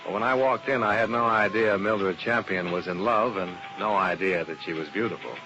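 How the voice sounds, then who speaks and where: A man's voice: on the low side, moderate pace, lightly acted. An American actor playing a cool, wry male insurance investigator in a 1950s radio drama, speaking in a terse, dry, matter-of-fact way. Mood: wry narration. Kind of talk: 1950s radio detective drama acting and narration. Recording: dull, noisy old radio recording.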